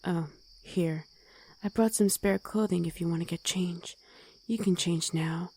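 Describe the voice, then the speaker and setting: A woman voicing a gruff, protective wolf girl who talks bluntly in an ASMR roleplay drama. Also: lowish voice, steady tone, unhurried, darkish tone. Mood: gruffly caring. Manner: blunt, commanding, gruffly teasing.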